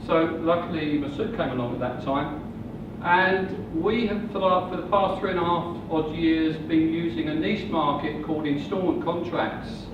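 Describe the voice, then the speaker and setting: Older man pitching at a seminar. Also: fairly even intonation. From England. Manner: measured, slow sales pitch delivery. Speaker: property investor presenter. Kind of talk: seminar presentation. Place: hotel function room. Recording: muffled, distant, echoey room with noise.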